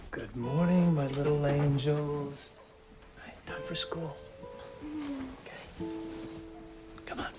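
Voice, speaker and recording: A man's voice: a little high, takes it slowly, long pauses. Drowsy father over music. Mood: drowsy, muddled. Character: gentle, drowsy, disoriented father at home. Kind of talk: film drama acting.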